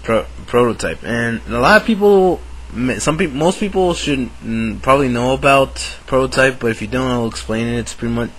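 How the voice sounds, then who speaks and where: Raspy man with a cold. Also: medium pitch, fairly bright tone, poor audio. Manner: halting, full of fillers and restarts. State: sick with a cold, sore throat. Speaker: video maker. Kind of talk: casual chat to camera.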